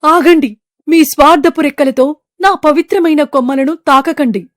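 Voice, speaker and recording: A woman plays an ancient sacred tree spirit in an animated fable. The voice is fairly high and dry, with a grave, declamatory delivery. The tree is stern but forgiving, a mother of the forest. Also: normal speed, no echo, natural voice. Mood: stern, commanding. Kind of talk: animated moral story dialogue.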